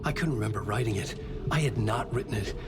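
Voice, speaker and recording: An actor playing a haunted middle-aged novelist, narrating a horror game in grave, terse, brooding sentences. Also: male voice, fairly low pitch, fast talker, music in the background, close to an everyday voice. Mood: grim, brooding. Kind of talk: scripted first-person narration in a horror video game.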